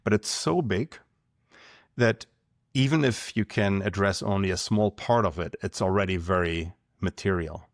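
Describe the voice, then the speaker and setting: Man, German accent, low-pitched, measured explanations, dry sound. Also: no room sound. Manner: measured, explanatory, numbers-driven. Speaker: guest, company founder. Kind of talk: podcast interview.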